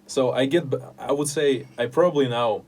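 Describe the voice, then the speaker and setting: A young man whose first language is Russian, talking casually about his writing in an interview and often pausing to search for words. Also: mid-range voice, darkish tone. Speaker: podcast host and writer.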